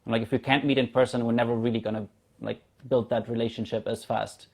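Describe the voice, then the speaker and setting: A man's voice: medium pitch, flat delivery, fast, creaky, a little mellow. A man in his thirties from Germany, a native German speaker, chatting casually as an interview guest. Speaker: interview guest, community founder. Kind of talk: casual video-call conversation.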